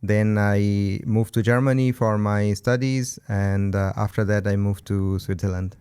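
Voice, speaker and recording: A man from India with an Indian accent, answering casually as an interview guest in measured, hesitant phrases. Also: lowish voice, fairly even intonation, a little mellow, clear, resonant tone. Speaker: podcast guest, event co-founder.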